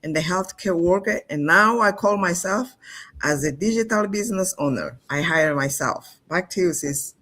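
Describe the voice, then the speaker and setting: A grandmother from Angola, a guest on a live stream, introducing herself in a brisk, upbeat way. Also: lowish voice, animated, a bit bright. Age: older. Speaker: guest, healthcare worker.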